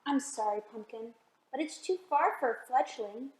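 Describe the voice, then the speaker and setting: A woman's voice: high-pitched, normal speed, reverberant, lightly acted, low-quality recording. A woman voicing an eager, curious young penguin, a kindly big brother in a picture book. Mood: apologetic.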